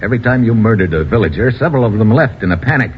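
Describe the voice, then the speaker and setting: A man's voice: on the low side, lively intonation, racing, lightly acted. An actor playing a wry, breezy tough-guy adventurer with an American accent and slangy old-time radio delivery. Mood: wry, grim. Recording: muffled, noisy old radio recording.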